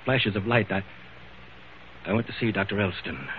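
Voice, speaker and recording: Actor as an earnest husband on tinny old radio. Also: male voice, lowish voice, lively intonation, moderate pace, a little hiss or hum, close to an everyday voice. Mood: earnest, pleading. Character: neglectful businessman husband, earnest and pleading. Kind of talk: old-time radio drama acting.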